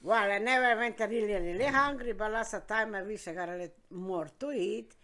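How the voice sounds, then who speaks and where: A woman, being interviewed by her grandkids and telling her story in plain, halting, broken English. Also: mid-range voice, sharp tone. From Croatia. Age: 70s. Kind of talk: casual interview.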